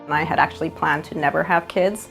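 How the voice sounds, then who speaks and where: A woman's voice: low voice, steady tone, fast talker, vocal fry. A woman in her thirties speaking frankly in a documentary interview, telling her own story. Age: 30s. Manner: frank, candid, often murmurs agreement. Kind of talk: documentary interview, personal testimony. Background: faint music.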